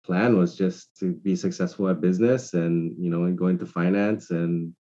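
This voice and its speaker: A man, speaking as a guest in a measured, reflective way over a remote call. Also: deep voice, fairly even intonation, mellow, lo-fi sound. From Hawaii. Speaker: guest entrepreneur. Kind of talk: casual conversation.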